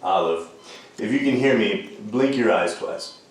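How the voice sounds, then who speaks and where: An actor playing an earnest, commanding hospital doctor in a radio thriller. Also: male voice, on the low side, animated, measured pace. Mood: reassuring, measured. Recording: very echoey, distant mic, faint noise.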